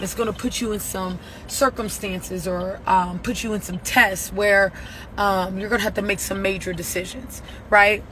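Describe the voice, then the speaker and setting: A hoarse woman giving a casual, profane motivational message as a spiritual reader, often asking "right?". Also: medium pitch, a bit bright, rough recording. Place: at work, near traffic. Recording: clear traffic noise behind.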